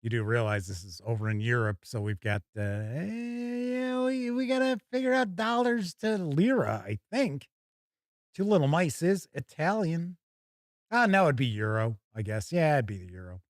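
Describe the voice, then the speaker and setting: American male host, higher-pitched voice, very animated delivery, dry close sound, jokey gaming news chat. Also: moderate pace, no echo. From United States. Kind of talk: live news commentary with casual chat asides.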